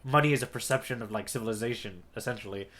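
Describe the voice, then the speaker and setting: A man's voice: medium pitch, rapid-fire, fairly bright tone. A man co-hosting a podcast, recapping a story informally and checking in with his co-host as he goes. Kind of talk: casual conversational story recap.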